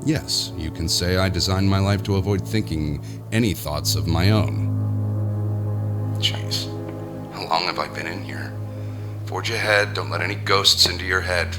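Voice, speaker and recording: A man's voice: low voice, unhurried, pauses often, over music. An actor playing a powerful, nervous businessman who talks to himself in a rambling, sardonic way, narrating an audio horror drama. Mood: rattled, musing. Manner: rambling self-talk, sardonic, jittery. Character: powerful businessman, alone, nervous, self-mocking, slowly unravelling. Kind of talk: first-person audio horror monologue.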